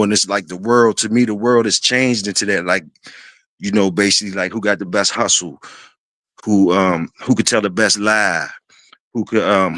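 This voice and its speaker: A man in his fifties hosting a casual talk, speaking in a laid-back, rambling way with many fillers and restarts, often clearing his throat. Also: fairly low pitch, a bit bright, no echo. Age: 50s. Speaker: host.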